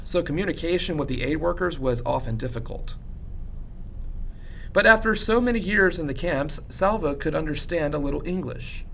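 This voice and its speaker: Guy reading a book out loud like a teacher, kinda creaky voice, dramatic pauses, faint rumbly noise behind. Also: low-quality recording. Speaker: teacher reading a novel aloud. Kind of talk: expressive audiobook-style narration.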